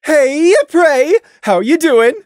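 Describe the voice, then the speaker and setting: A woman voicing a bubbly, cheerful female pony officer who talks loudly and pushily. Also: high-pitched, expressive, slow pace, no echo, studio quality, voice pushed up. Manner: chirpy, pushy, loud.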